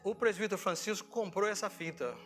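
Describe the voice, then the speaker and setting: Brazilian male pastor, conversational sermon storyteller, bright and forceful delivery, faint music underneath. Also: high voice, a little fast. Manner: conversational storytelling, acting out dialogue, asking rhetorical questions. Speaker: pastor preaching. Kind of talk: informal sermon with personal anecdotes.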